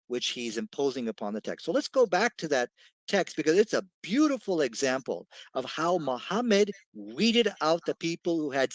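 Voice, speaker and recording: A man's voice: a little high, dry recording, low-quality recording. A man with an English accent debating in an assertive, dismissive way, asking rhetorical questions, on a close mic. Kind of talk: online debate.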